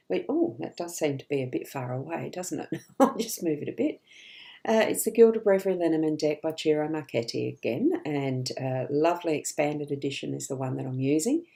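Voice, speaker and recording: A woman giving a live daily card reading as a psychic reader, chatty and gently reassuring, her voice a bit rough and hoarse. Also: deep voice.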